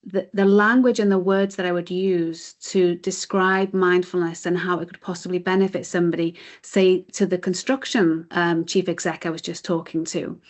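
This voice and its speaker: A woman's voice: fairly low pitch, fairly even intonation, normal speed, few pauses. A British woman giving earnest, explanatory answers as the guest in a conversational interview. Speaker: interview guest, chief executive of a mindfulness charity.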